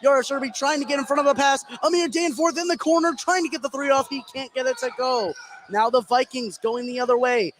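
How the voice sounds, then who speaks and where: A man doing live play-by-play radio commentary of a high school basketball game, with excitable delivery. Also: fairly quick. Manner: excitable, voice swinging up on big plays. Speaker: play-by-play sports announcer. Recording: clearly noisy live gym broadcast. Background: gymnasium game noise.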